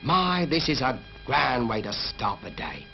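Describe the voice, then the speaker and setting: An actor playing a chatty working-class English townsman telling his story in a 1950s animated film. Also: mid-range voice, measured pace, vocal fry. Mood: cheerful. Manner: chatty, colloquial. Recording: dull 1950s film soundtrack, loud music.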